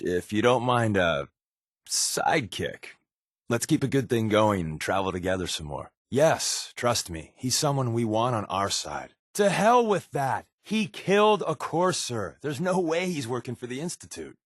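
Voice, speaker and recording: Casting: sardonic male undercover operative, video game companion; glib, joking, very lively pitch movement, close dry sound. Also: unhurried, dry recording. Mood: friendly, then insistent, urgent. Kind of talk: video game voice acting, conversational.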